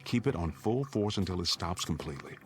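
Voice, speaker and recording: A man's voice: deep voice, expressive, fairly quick. An American man acting in a radio drama as an urgent, middle-aged father who takes charge and steadies his family. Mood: steadying, tense.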